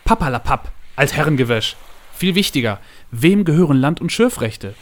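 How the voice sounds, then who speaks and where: A greedy merchant boss in a storybook tale, acted out by a male narrator. He is bombastic and bossy, pushing his voice up high and speaking with pompous force. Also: lively intonation, brisk, natural voice. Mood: eager, demanding. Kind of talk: dramatic audiobook storytelling.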